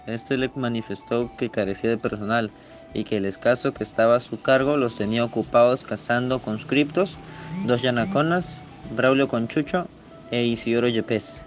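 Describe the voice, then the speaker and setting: A man's voice: medium pitch, normal speed. A man narrating a scripted radio novel. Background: faint music.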